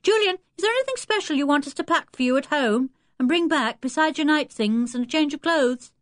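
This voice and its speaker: A woman voicing a brisk, energetic teenage boy who calls out in a children's audiobook, with a British accent. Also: high voice, no room sound, clean studio sound, pitched above the performer's own voice. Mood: calling out. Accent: British English. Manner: calling out, brisk. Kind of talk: children's audiobook character acting.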